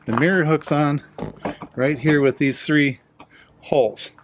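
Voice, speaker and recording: A man's voice: fairly high pitch, fairly even intonation, measured pace, noisy background. A man casually narrating a hands-on DIY installation, explaining it plainly step by step.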